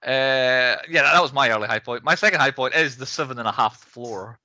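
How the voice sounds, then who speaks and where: A man hosts a casual film discussion in a bright, forceful voice. He rambles through animated asides at a middling pitch. The sound is dry, with very little room. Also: a little fast, no room sound. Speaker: podcast host.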